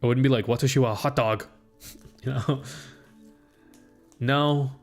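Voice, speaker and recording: A man's voice: mid-range voice, fairly even intonation, unhurried. A young man rambles on mic, trailing off and restarting, with one "like" after another. He sounds like he is working his ideas out as he goes. Faint music plays under him. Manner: meandering, full of "like" and restarts, thinks aloud. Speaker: student streamer. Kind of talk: casual rambling monologue.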